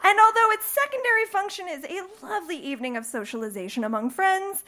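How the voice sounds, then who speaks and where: A woman's voice: sits high, bright, forceful tone. A young woman from the Midwest telling a bawdy, playful personal story on stage in front of a live audience, laughing through her lines. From American Midwest.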